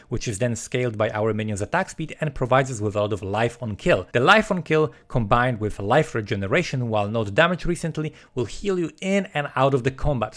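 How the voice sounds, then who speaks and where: A man presenting a scripted video game guide as a voice-over, explaining step by step and addressing the viewer directly. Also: mid-range voice. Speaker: gaming video creator presenting a build guide.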